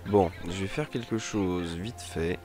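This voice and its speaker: A man's voice: low voice, measured pace. A man with a head cold, giving casual, rambling gameplay commentary and repeating his phrases. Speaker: let's play host. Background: game music.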